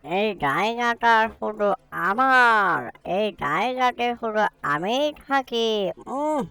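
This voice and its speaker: A woman voicing a fussy, expressive talking magic pot in a cartoon. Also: fairly high pitch, slow, no echo, heavily acted. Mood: indignant. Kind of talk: cartoon voice acting.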